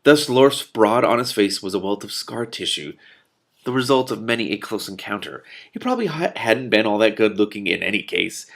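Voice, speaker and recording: A man's voice: fairly low pitch, lively intonation, normal speed, creaky, a bit bright. A man reading a novel aloud expressively as host, breaking off with amused casual comments.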